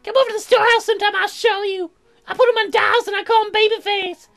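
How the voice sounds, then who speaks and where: A man voicing a crude, silly, excitable, childlike woman in a comic visual novel, rambling in a dim-witted way. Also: very high voice, quick pace, gravelly, soft background music, voice pushed up, full character voice. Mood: goofy, excitable.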